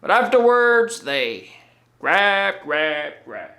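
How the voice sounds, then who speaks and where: Stage monologue: male actor as exasperated working-class cabbie, folksy and emphatic, raised pitch, forceful tone. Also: big pitch swings, very slow, full character voice. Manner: folksy, emphatic, repeating words. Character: fed-up veteran cab driver, working-class, exasperated, loud.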